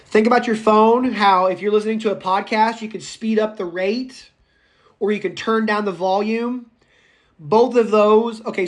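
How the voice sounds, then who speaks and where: A raspy-voiced male public speaking instructor lecturing a live online class, speaking loudly without a microphone, chatty and prone to tangents. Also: high voice. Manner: speaks loudly, projecting as if shouting; enthusiastic, goes off on tangents and laughs. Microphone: no microphone, voice caught by the room recorder.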